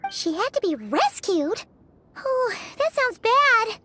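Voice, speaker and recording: A woman voicing a tiny, excitable female fairy companion who talks in eager exclamations in a game cutscene. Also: extremely high pitch, slow, noisy background, heavily acted. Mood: curious. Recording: studio voice with faint music.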